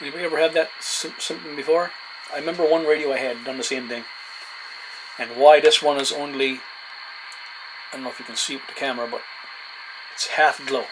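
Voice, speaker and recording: A man's voice: mid-range voice, steady tone. Man chatting casually over noisy radio hiss. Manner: halting, laid-back, uses radio jargon. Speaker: amateur CB radio hobbyist. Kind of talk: casual radio chat and demo narration. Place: indoor desk beside CB radio sets. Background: radio static and room noise.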